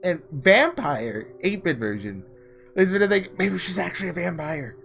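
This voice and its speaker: A man's voice: high-pitched, animated, moderate pace, gravelly, soft background music. A man hosting a casual gaming playthrough, thinking aloud informally and reading visual novel text. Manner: informal, musing out loud. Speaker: gaming video host.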